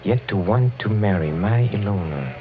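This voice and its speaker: An actor playing a suave, sinister older European count in 1940s radio style, courtly and insinuating, halting and chuckling softly. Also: male voice, fairly low pitch, expressive, background noise, lightly acted. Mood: guarded, measured. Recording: muffled old 1940s radio recording, faint music.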